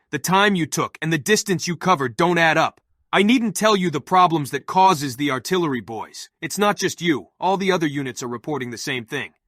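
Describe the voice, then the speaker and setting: Higher-pitched brisk military analyst, played by a man. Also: a bit bright, no room sound, clean studio sound. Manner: explanatory, matter-of-fact.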